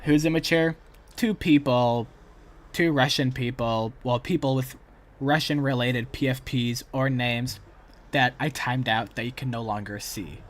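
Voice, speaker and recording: A man's voice: mid-range voice, steady tone, a little slow. Casual man hosting a livestream. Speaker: livestream host. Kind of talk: casual reaction stream chat.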